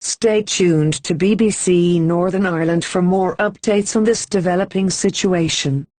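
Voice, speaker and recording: A woman reading a scripted emergency broadcast announcement in an even, formal manner with slow, deliberate pauses. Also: on the low side, no room sound.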